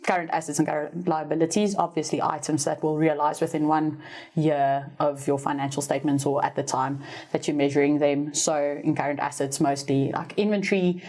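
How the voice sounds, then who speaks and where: A woman's voice: deep voice, steady tone, fairly quick, darkish tone. A woman with a South African accent, an Afrikaans native speaker, explaining finance topics in a podcast chat in a teacherly, upbeat way, laughing at herself and correcting herself as she goes. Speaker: finance expert guest, former university lecturer.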